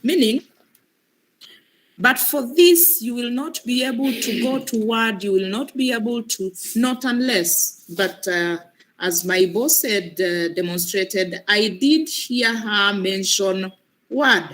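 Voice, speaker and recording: A woman's voice: medium pitch, slow, a bit bright, low-quality recording. A woman with a cold and a breaking voice, patiently guiding students through an online exam, often repeating her points. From Kenya. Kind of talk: live training demonstration.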